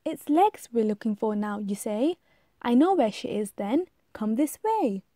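A woman's voice: on the high side, big pitch swings, a little slow, mellow, no room sound, clean studio sound. A woman voicing a helpful, confident butterfly in a rhyming storybook, eager and encouraging. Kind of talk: rhyming storybook read-aloud.

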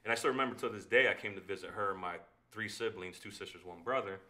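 A man in his forties whose first language is Spanish, talking fast with a bright, forceful tone. He swears freely and tells stories in an animated way. He is a podcast guest in a small living room, speaking into a standing mic. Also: lowish voice. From United States. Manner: fast, profane, animated storytelling. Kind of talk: casual storytelling chat. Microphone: studio mic on a stand.

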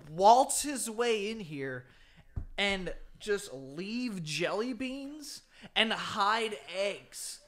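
A man's voice: high voice, sing-song, drawn-out words, fairly bright tone. A young man co-hosting a podcast from home, wisecracking and swearing freely into a studio mic. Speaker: podcast co-host. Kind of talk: casual comedic chat. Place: home room, indoors. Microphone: studio mic on boom arm, wearing headphones, remote call.

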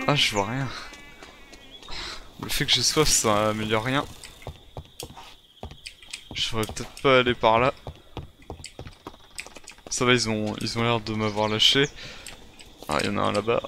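A man's voice: lowish voice, fairly even intonation, unhurried, pauses often, bright, forceful tone. A man improvising first-person roleplay commentary on a game, muttering to himself in short, repeated phrases. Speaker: gaming video creator playing a survivor. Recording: very noisy home recording, game sounds behind.

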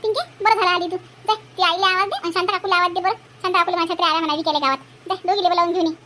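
A woman's voice: very high voice, steady tone, rapid-fire, background noise. A woman playing a bossy, chatty village housewife in a comic cartoon, with a Varhadi Marathi accent and a cartoonishly raised voice. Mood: bossy, helpful. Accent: Varhadi Marathi from Vidarbha. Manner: folksy, bossy, talkative.

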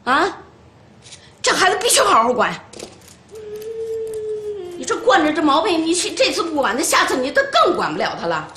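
A domineering granny. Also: female voice, sits high, sing-song, moderate pace, long pauses, reverberant, slight background noise, exaggerated voice. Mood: lecturing. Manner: nagging, scolding, sharp tongue. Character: harsh scolding older grandmother, domineering. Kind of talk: TV drama acting.

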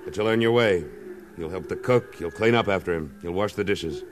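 An American actor playing a tough, curt cattle rancher in a 1950s western radio drama, with dry, commanding delivery. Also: male voice, deep voice, brisk, background noise, lightly acted. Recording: old radio recording with music.

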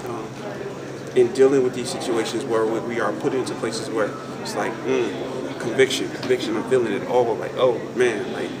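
Young guy thinking out loud, repeats himself, mic far away, tons of chatter behind. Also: medium pitch, steady tone. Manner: halting, thoughtful, repeats phrases. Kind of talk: casual group discussion of faith. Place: large open indoor lounge. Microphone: camera mic a few feet away.

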